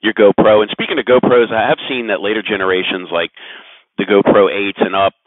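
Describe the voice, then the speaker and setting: Deep voiced guy vlogging casually thru a headset, tinny phone sound, stumbles and repeats himself. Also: steady tone, no room sound. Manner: hesitant, halting, repeats words. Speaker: aviation vlogger. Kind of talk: casual explainer to camera. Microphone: aviation headset over Bluetooth to phone. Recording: muffled phone-like Bluetooth headset audio.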